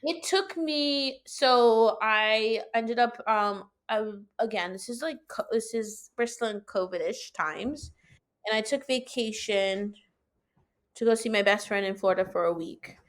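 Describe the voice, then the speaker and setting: A woman with a high-pitched voice telling a personal story in casual, animated conversation, acting out her own reactions as she goes. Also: a little slow. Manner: animated, colloquial, acts out own quoted reactions. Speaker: podcast guest telling own experience.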